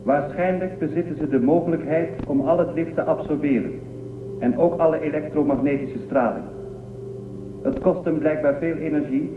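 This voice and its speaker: An actor playing an earnest, analytical guardian machine in a radio drama, with precise, formal delivery. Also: male voice, fairly high pitch, lightly acted. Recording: echoey, tinny phone-like sound with noise.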